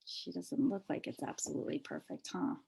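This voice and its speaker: A woman reading a children's story aloud in an animated manner, drawing out key words for emphasis. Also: on the low side, a little fast, creaky, slightly rough. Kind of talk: expressive children's read-aloud.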